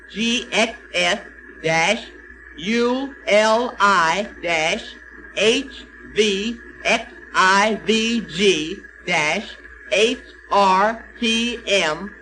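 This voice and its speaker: Earnest boy adventurer, high and sharp, emphatic orders, vintage radio drama. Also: very slow, faint music behind, heavily acted, poor audio. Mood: excited. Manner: urgent, emphatic, giving orders. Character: earnest, eager boy adventurer, quick and bossy. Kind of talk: old-time radio serial acting.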